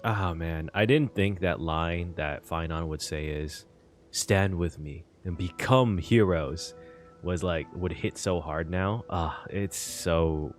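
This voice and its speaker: A man reacting live to a story game, loose and emotional. His voice sits very low. He laughs, exclaims and trails off as he talks, with faint game music in the background. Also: takes it slowly. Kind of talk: casual reaction commentary.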